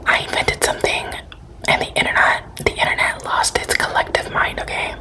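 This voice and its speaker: A woman's voice: a little fast, lightly acted. A woman doing a whispered ASMR roleplay as a flamboyant, self-important celebrity shop owner, theatrical, boastful and sassy.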